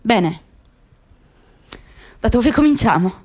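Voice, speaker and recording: A woman's voice: on the high side, lively intonation, slow pace, darkish tone. A woman voicing a bitter, resentful husband in a radio play, with sardonic, questioning delivery. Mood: restrained. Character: bitter, resentful Palestinian husband driving home.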